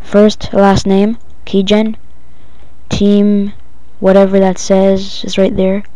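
A female-sounding speaker giving a casual, halting, improvised step-by-step software tutorial. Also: mid-range voice, completely flat delivery, smooth, no echo. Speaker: tutorial presenter. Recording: dull lo-fi home recording.

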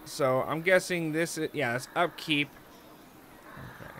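A man hosting a let's play, giving casual, excitable gameplay commentary and thinking out loud. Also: a little high, a little slow, slight background noise.

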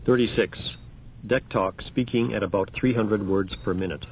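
A man reading scripted announcements for an audio demonstration in a measured, matter-of-fact way. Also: lowish voice, slightly rough. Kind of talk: scripted announcing of numbered examples. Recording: muffled, phone-like archival recording.

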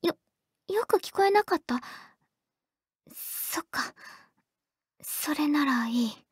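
A woman playing a shy, awkward teenage girl on the phone with her childhood-friend crush, in an anime audio drama. She speaks softly in an intimate, hesitant, stammering way with a breathy, whispery voice. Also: high voice, animated, slow, dry recording, studio quality. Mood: flustered.

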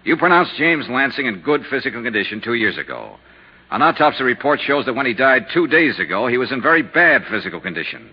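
An actor playing a hard-boiled, persistent insurance investigator in 1950s radio detective style, clipped and blunt. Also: male voice, mid-range voice, very animated, quick pace, slightly rough. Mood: stern, pressing. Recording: noisy, dull 1950s radio recording.

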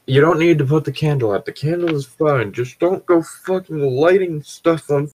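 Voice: mid-range voice, normal speed, darkish tone, no echo, poor audio. An anxious, exasperated podcast co-host pleading and swearing in casual chat with friends. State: anxious, stressed.

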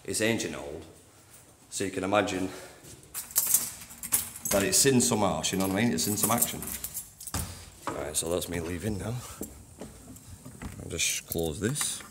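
A man who cleans a train station, giving a casual walking tour on his phone, chatty and rambling, with a deep voice. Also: measured pace, pauses often, background noise. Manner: chatty, rambling, says "you know what I mean". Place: old train station toilets and platforms at night. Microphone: handheld phone.

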